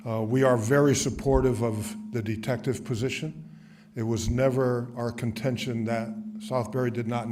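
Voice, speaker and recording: Man, association president, halting fillers, middling pitch, faint background noise. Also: a little mellow. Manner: plain, appreciative, halting with fillers. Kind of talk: public comment at town meeting. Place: town hall meeting room.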